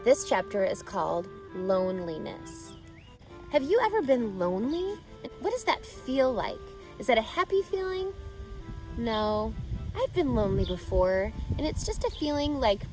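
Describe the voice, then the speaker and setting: A woman's voice: a little high, big pitch swings, a little slow, over music, rough recording. A woman narrating a children's book expressively as a read-aloud host, speaking directly to young listeners.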